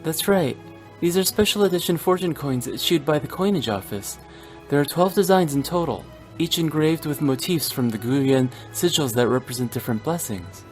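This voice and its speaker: A woman's voice: deep voice, lively intonation, moderate pace, a little mellow, faint music behind. A woman playing a gracious, composed female civil official in a video game, speaking courteously. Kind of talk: animated game dialogue.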